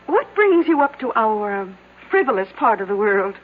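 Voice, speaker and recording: An actress playing a chatty, inquisitive small-town motel keeper in a radio drama, with a folksy manner. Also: female voice, fairly high pitch, sing-song, moderate pace, faint music behind. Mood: chatty, curious. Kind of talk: 1970s radio drama acting. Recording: tinny band-limited old radio, faint hiss.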